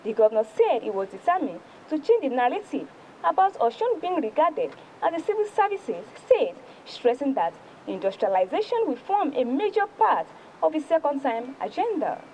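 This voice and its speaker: A female news presenter with a Nigerian accent reviews the newspapers in a high voice. Her delivery swings widely in pitch and is emphatic and deliberate. Some background noise sits faintly behind her. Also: big pitch swings, darkish tone, low-quality recording. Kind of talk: read-aloud newspaper review on TV.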